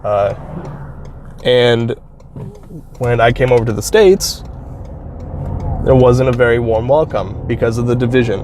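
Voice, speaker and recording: A man from Turkey hosting his own channel, talking through an idea in a slow, reflective monologue with frequent pauses and restarts. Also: medium pitch. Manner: halting, thoughtful, frequent restarts. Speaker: channel host. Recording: clear traffic noise outdoors.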